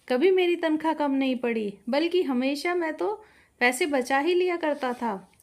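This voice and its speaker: Woman voicing practical young husband, high voice. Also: lightly acted. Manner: explanatory, remonstrating. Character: young husband, practical, reasoning, budget-minded.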